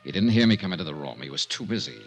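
An actor playing a cool, wry American insurance investigator who narrates a 1950s radio mystery in a clipped, commanding manner. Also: male voice, deep voice, big pitch swings, rapid-fire, vocal fry, faint music behind, heavily acted. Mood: tough, cool. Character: hard-boiled male insurance investigator, cool, wry, sure of himself. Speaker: lead and narrator.

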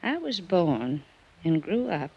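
A woman's voice: on the low side, very animated, slow pace, husky, exaggerated voice. An actress playing a frail, weary, wistful older woman in an old-time radio drama, lingering sentimentally over her words. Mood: wistful, fond. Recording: dull, noisy old radio recording.

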